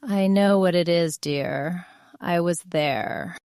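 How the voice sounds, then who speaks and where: Low, smooth, dry older wife, acted by a woman. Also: takes it slowly, darkish tone, dry recording. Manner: dry, precise, long-suffering. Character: dry, unimpressed older Texan storyteller's wife. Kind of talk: audiobook drama acting.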